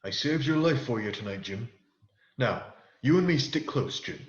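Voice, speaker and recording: A man's voice: medium pitch, lively intonation, normal speed, natural voice. Radio play role: wily pirate ringleader male actor, old sea-dog dialect, persuasive, muffled and reverberant. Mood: confiding. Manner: rustic old-sailor dialect. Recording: muffled, echoey audio under loud music.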